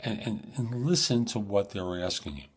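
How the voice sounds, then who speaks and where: Guy with a lowish rough husky voice, chatting through tips like a coach on his show. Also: normal speed. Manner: informal, emphatic coaching, acts out sample questions. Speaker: host of a job search advice show. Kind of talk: conversational advice monologue.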